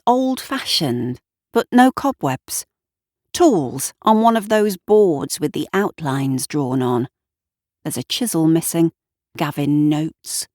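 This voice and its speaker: A woman narrating scripted fiction in the present tense with expressive, deliberate storytelling. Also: on the low side, no room sound, studio quality.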